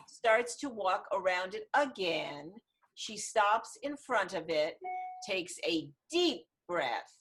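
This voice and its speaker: A woman narrating the stage directions of a screenplay in a scripted table read over a video call. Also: medium pitch. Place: online video call reading.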